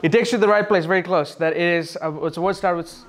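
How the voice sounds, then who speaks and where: A man's voice: sits high, fairly even intonation, quick pace. A man from Sri Lanka teaching as a youth pastor in a casual, question-driven way, explaining things informally. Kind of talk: casual interactive teaching talk.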